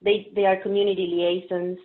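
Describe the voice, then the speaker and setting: A woman's voice: mid-range voice, flat delivery, fairly quick. Mexican-accented woman presenting over a call. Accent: Mexican Spanish. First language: Spanish. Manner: friendly, explanatory, uses fillers. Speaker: interpreter presenter. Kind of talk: webinar presentation. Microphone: video call. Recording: phone-like narrow audio.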